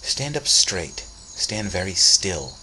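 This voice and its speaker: A man voicing Cece, a mysterious girl whose soft, knowing manner teases her younger brother. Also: mid-range voice, animated, moderate pace, slight background noise, voice pushed up, low-quality recording. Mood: sly coaxing. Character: sly, mysterious girl, a sleeping spirit sister.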